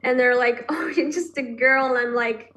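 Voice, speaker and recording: Chatty young woman, bright pitch. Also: normal speed, dull audio. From Russia. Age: 20s. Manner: chatty, many fillers like "like" and "I mean". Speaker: marketing manager. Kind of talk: casual chat.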